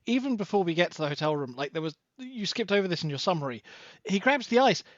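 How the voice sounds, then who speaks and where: A man guest on a film podcast, talking in a casual, lively way. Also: high voice, quick pace, no echo. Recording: dull lo-fi mic.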